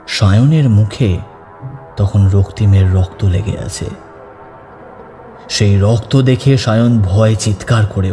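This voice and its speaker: Mellow male horror narrator with reverb. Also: mid-range voice. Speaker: audiobook narrator. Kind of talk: scripted horror story narration. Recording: long reverb over background music.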